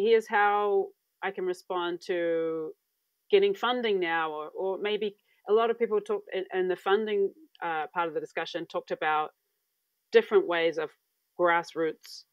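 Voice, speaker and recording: A woman in her thirties from New Zealand giving a conference talk over a video call from a room at home, relaxed and searching for words with many fillers. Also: lowish voice, no room sound. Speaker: academic speaker. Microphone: earphone mic on video call. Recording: dry, dull video-call audio.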